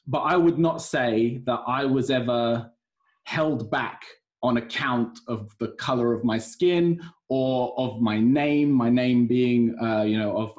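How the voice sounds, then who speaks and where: Thoughtful man, mid-pitched voice. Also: a little mellow. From United Kingdom. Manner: measured, thoughtful, hesitant with fillers. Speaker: podcast co-host. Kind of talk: podcast conversation.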